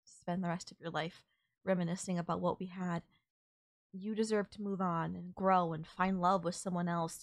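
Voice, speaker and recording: A woman's voice: fairly low pitch, no room sound, close to an everyday voice. Tender alien girlfriend, acted by a woman, close and dry. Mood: sad, resigned. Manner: soft-hearted, reassuring, gently teasing, addressing a lover. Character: tender alien girlfriend saying a sad goodbye. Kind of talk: intimate romantic audio roleplay, ASMR-like.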